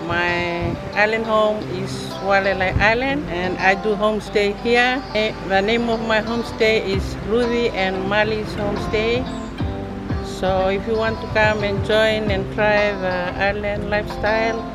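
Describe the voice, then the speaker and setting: A man's voice: high voice, steady tone, a little slow. A man, a homestay host giving a short welcome with small hesitations. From Fiji. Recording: voice over loud music.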